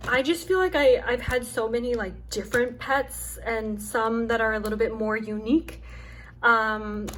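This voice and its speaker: A young woman with a soft, calming voice chatting casually and rambling with lots of fillers as she hosts a craft video at home. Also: a little high, a little hiss or hum. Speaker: craft channel host. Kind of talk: casual chat while chopping wax. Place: home with her dog nearby.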